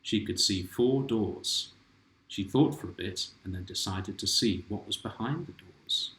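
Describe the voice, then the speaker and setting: A man reading his own children's picture book aloud, stopping to ask the listening child questions. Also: fairly low pitch. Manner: gentle, asks the child and viewers questions. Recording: roomy home room echo.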